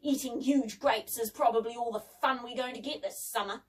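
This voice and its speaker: A woman's voice: a little high, normal speed, distant mic, close to an everyday voice. A woman voicing a bold, scornful young boy who puts on bravery in a children's story, speaking in a defiant, exclamatory way.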